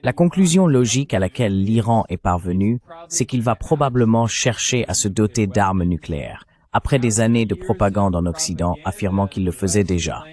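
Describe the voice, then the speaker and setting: A man's voice: fairly low pitch, lively intonation, moderate pace, a little mellow, natural voice. A man voicing a French translation of a political analyst's explanatory interview commentary.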